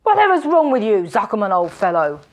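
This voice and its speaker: A woman's voice: medium pitch, big pitch swings, fairly quick, close to an everyday voice. A woman voicing a boisterous, blustering male robber with hearty laughter in a storybook reading. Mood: jovial.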